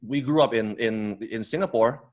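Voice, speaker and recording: A man in his thirties who grew up in Indonesia speaks as a podcast guest at a middle pitch. He talks in a halting way, full of fillers and restarts, and laughs easily as he tells his story. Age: 30s. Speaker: podcast guest, company co-founder. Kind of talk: casual interview storytelling.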